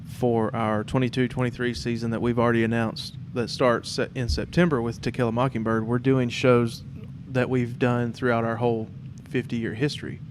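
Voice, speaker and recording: A man's voice: medium pitch, little pitch movement, normal speed, hardly any pauses. A man hosting an informal, conversational interview. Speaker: podcast host. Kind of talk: casual, informal interview chat. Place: temporary studio in a theatre. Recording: background noise and room ambience.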